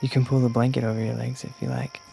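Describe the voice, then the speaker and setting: An actor playing a gentle, smitten young man in a radio drama, speaking tenderly and hesitantly. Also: mid-range voice, level tone, fast talker, vocal fry, soft background music.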